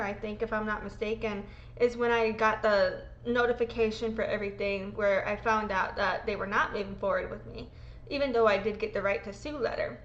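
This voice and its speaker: A woman's voice: on the high side, a bit bright, dull audio, slight background noise. Woman vlogger in her thirties, under the weather with a sore throat, rambling and emotional, in a roomy-sounding space. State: sick, sore throat, voice lower than usual. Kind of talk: casual rambling vlog. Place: home room being packed up.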